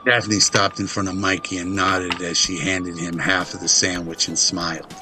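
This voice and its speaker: A man's voice: low-pitched, flat delivery, moderate pace, music in the background. A man reading scripted narration for an audio drama.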